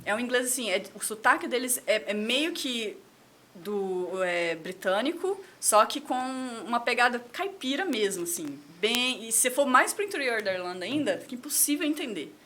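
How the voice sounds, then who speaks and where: A Brazilian woman in her thirties talks as a podcast guest, her voice bright and clear over a faint background hiss. She chats freely, restarting phrases and repeating words as she works out her thoughts. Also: on the high side, sing-song, normal speed. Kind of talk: casual chat.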